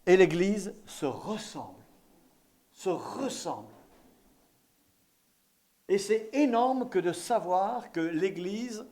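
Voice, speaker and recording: Higher-voiced male preacher from eastern France. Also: darkish tone. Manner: exhorting, repeating key phrases for emphasis. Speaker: visiting evangelical pastor preaching. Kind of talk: sermon.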